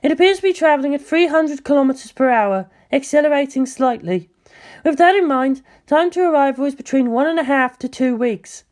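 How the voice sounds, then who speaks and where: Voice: sits high, a little fast, darkish tone, pitched above the performer's own voice, close to an everyday voice. A narrator voicing a weary woman scientist in clipped, precise speech for an audiobook. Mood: clinical briefing.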